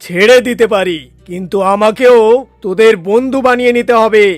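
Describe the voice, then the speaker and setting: A man voicing a fierce lion with a soft heart in a cartoon, speaking in a dramatic, declamatory way. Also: high voice, a little fast, no room sound, soft background music, natural voice. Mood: moved, commanding. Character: fierce male lion softened into a friend.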